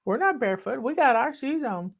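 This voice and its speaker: A woman's voice: sits high, lively intonation, a little fast, vocal fry. An older woman from the American South talks to her online followers in a thick Southern accent. She tells stories in a folksy, chatty way, calling people "y'all" and owning up cheerfully to being bossy. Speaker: online host. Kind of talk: casual storytelling chat. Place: home in the mountains.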